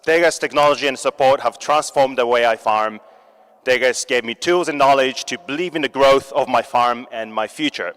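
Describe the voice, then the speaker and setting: A man from Japan giving a startup competition pitch, upbeat and joking with the audience. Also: normal speed, fairly bright tone, smooth. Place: large hall. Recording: long hall reverb.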